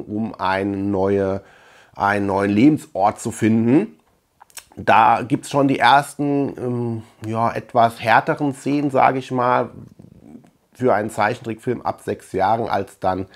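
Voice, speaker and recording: Man, average pitch, casual video review, conversational delivery, indoor room. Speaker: host of a film review channel. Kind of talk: casual review talk to camera. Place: small room full of shelves and collectibles, indoors.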